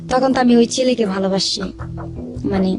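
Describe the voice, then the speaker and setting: A woman's voice: on the high side, fairly quick. A woman with a Bangladeshi accent tells her life story informally as a guest, acting out the dialogue as she goes. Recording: music under the voice.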